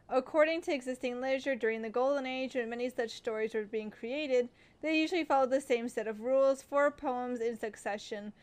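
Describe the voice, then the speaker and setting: Chatty young woman game streamer. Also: on the high side. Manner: chatty, giggly, gushing. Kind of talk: casual chat, reading game text.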